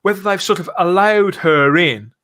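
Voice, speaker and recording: A man's voice: high voice, normal speed, pushed and bright. A man hosting a live fan podcast in a conversational style. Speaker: podcast host.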